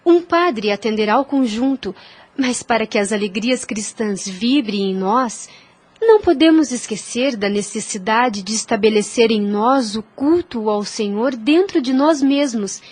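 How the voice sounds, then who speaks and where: A high-pitched, gentle and devout young woman played by an actress in an audio drama. She speaks formally and earnestly, like a teacher of faith, in measured phrases. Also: lively intonation, slightly rough.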